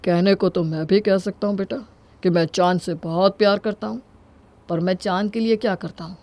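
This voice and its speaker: A woman's voice: mid-range voice, fairly even intonation, moderate pace, a little mellow, dry recording, natural voice. A disapproving older father played by a woman in an audio story. He chides in a wry, reproachful way, in a dry, close recording. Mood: calm reproach. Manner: dry, chiding.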